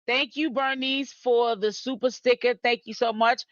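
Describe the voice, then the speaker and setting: A man's voice: very high-pitched, fairly even intonation, normal speed, fairly bright tone, no echo, muffled recording. A man from Boston hosting a casual live-stream commentary, chatting straight to his viewers. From Boston, Massachusetts. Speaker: YouTube commentary host.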